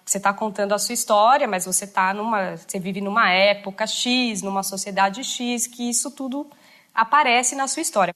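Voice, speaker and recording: A woman's voice: medium pitch, moderate pace, fairly bright tone. A woman explaining a subject as an expert in an interview, tagging her points with frequent question tags. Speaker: expert, teacher.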